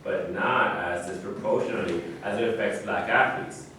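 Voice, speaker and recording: A man's voice: low voice, normal speed, pushed and bright. A man acting a proud, indignant retired athlete, speaking emphatically in a staged play reading. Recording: very echoey room, distant mic, faint noise.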